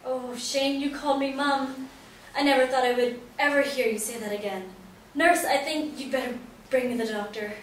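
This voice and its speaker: A devoted, anxious mother, played by a woman at a stage reading, coaxing and reassuring with hope. Her highish voice sits far from the mic in a very echoey room with clear hiss. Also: steady tone, normal speed, natural voice. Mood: overjoyed. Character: devoted, hopeful, anxious mother of an injured son. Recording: very echoey room, distant mic, hissy noise.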